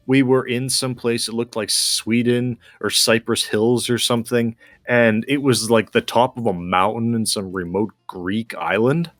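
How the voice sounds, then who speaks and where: A man's voice: medium pitch, fairly even intonation, unhurried, few pauses, fairly bright tone, no room sound, studio quality. A man chatting casually while playing a game, musing and explaining his thinking as he goes. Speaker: gamer co-hosting a gameplay video.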